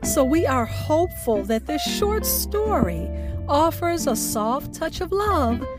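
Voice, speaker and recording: High-voiced woman hosting radio, music behind. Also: big pitch swings, slow. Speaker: radio show host. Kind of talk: scripted show outro.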